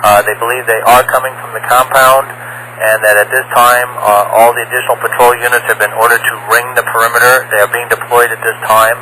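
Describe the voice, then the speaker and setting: A man's voice: medium pitch, little pitch movement, brisk, pushed and bright. A man working as a police officer, speaking in terse radio traffic over a police two-way radio. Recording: noisy police scanner radio recording. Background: radio noise.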